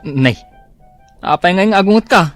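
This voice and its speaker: A man's voice: high-pitched, expressive, moderate pace, fairly bright tone, faint music behind. A man playing a complaining male office coworker, in a radio drama. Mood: grumbling.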